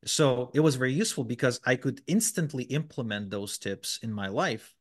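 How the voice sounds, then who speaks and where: A man of middling pitch talks through his ideas as a podcast guest. He explains step by step, with plenty of fillers and false starts along the way. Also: normal speed. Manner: explanatory, many fillers and restarts. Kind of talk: conversational interview answers.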